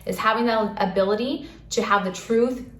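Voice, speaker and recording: A soft-spoken woman giving a casual spiritual talk to camera in a calm, earnest way. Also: a little high, normal speed. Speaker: spiritual channel host. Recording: roomy echo, faint music.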